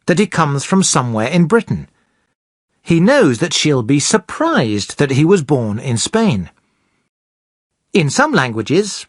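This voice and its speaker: Slow, clear English teacher. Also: medium pitch, no echo, studio quality. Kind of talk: scripted teaching narration.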